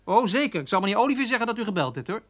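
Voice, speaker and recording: A man's voice: sits high, big pitch swings, very fast. Breezy young male office telephonist. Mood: breezy, businesslike. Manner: chuckling, breezy, familiar. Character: chatty, cheeky young male office switchboard operator. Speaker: actor in a radio play. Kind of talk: naturalistic Dutch radio drama acting.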